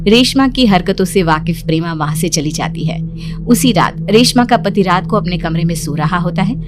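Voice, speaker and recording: A woman's voice: lowish voice, lively intonation, moderate pace, background noise. A woman reading scripted story narration as the narrator of a moral tale, over music.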